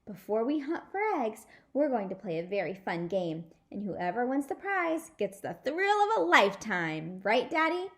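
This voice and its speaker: A proud, bossy little storybook girl acted by a woman talks in a high voice. She is showy and emphatic. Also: very animated, moderate pace, hardly any pauses. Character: bossy, giggly little girl proud of her party prize.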